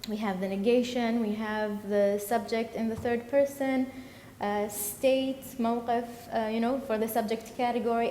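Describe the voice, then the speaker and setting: A woman gives a conference talk in a lecture hall, and her voice rings out with a long, roomy reverb. Her first language is Arabic. She speaks at a medium pitch and pauses often, with fillers and restarts. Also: darkish tone, slight background noise. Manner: halting, with many fillers and self-corrections. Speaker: conference presenter.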